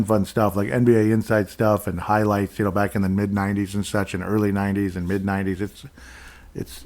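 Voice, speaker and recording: A man's voice: on the low side, darkish tone. Rambling, friendly male podcast host. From Minnesota. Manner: rambling, friendly, thanks listeners by name. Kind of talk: casual solo podcast chat.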